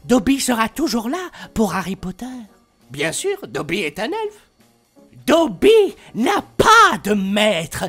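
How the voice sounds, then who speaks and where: A man voicing a small, excitable, devoted fantasy house elf, earnest and fawning. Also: very high-pitched, pitched above the performer's own voice, exaggerated voice. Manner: third-person, fawning, earnest.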